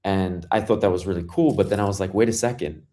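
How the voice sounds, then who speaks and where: Brooklyn-accented man, low register, guest founder, relaxed storyteller who restarts his sentences. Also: fast talker. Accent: Brooklyn New York. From Brooklyn, New York, US. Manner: loose storytelling with many fillers and restarts. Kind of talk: casual interview conversation.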